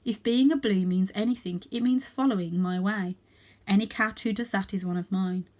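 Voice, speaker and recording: A woman voicing a wise old male ancestor cat from a storybook, a solemn mentor who speaks in measured, instructive phrases. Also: medium pitch, darkish tone, lightly acted. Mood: reassuring, wise.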